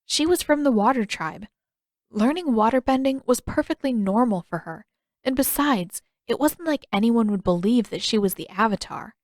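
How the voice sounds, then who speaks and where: A woman's voice: a little high, no echo. A woman narrating a scripted story as an audiobook-style reader, close to the microphone. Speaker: fan fiction author and reader.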